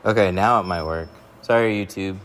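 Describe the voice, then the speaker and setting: A man's voice: deep voice, normal speed, a bit bright. A man hosting a casual livestream, answering viewer questions while he tints a truck in a workshop. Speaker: window tint installer hosting a livestream.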